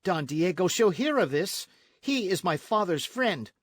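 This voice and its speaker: A man's voice: high voice, very animated, a little slow, darkish tone, no room sound, voice pushed up, exaggerated voice. A man voicing a proud, outraged young Spanish colonial noblewoman in a storybook, speaking formally and emphatically. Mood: furious, defiant. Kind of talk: storybook narration with character voices.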